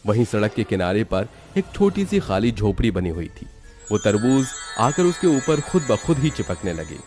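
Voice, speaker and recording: A man narrates a scripted horror cartoon story. His voice is fairly low with lively, swinging intonation and a dramatic storyteller's delivery, with faint music behind it. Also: moderate pace.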